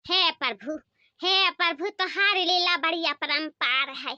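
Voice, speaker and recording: A woman voicing a scolding, excitable village mother in a comedy cartoon, with an Awadhi accent, nagging rustic chatter and an artificially pitched-up voice. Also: slow pace, a little hiss or hum, exaggerated voice. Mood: grateful, relieved. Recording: dull lo-fi close dry sound.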